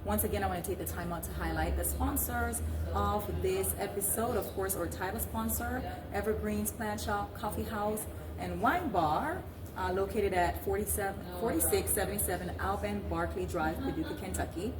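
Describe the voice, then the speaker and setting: A woman's voice: medium pitch, moderate pace, hardly any pauses, crowd noise. A woman hosting a talk show podcast in a conversational presenting style. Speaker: podcast host. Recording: echoey room, clear background noise.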